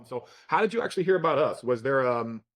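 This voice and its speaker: A man's voice: mid-range voice, expressive, quick pace, a bit bright, dry recording. Friendly man asking interview questions. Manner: friendly, asks rambling questions with fillers. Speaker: company interviewer.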